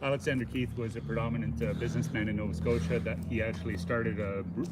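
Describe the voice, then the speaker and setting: A man hosting a casual unscripted walking livestream outdoors, explaining things in a halting, informal way. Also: lowish voice, little pitch movement. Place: outdoors on a city waterfront. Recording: noisy outdoor city audio with traffic.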